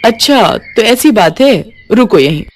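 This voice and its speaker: A woman voicing a brisk, kind-hearted working woman from a city slum in a moral story. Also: on the high side, sing-song, voice pushed up. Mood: curious, kindly.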